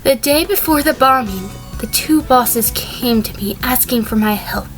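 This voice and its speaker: Wary ex-conspirator sheep on the witness stand, played by a woman; higher register, dry, measured audio drama delivery. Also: over music, lightly acted. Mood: composed, wary. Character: female sheep defendant, a wary, composed former conspirator. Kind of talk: fan audio drama acting.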